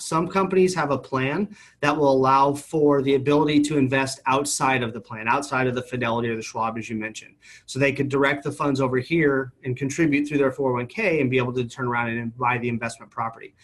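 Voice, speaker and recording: Male financial representative, mid-range voice, quick pace, definite and informative delivery in a question-and-answer interview. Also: medium pitch, a bit bright. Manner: matter-of-fact, definite, informative. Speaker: retirement account company representative.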